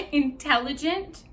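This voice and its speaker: High-pitched, scathing young woman, acted by a woman. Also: fairly bright tone, heavily acted. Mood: contemptuous. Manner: biting mockery, emphatic stresses, filler "like". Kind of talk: theatrical audition monologue.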